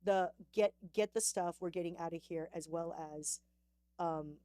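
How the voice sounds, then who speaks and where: A woman hosting a casual podcast chat, animated and quick to act out comic quotes. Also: lowish voice, dry recording. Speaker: podcast host.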